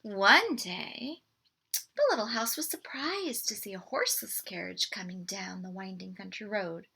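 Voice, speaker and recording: A woman reading a picture book aloud to children in an expressive, deliberate way. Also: mid-range voice, vocal fry, slightly rough. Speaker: storybook read-aloud presenter.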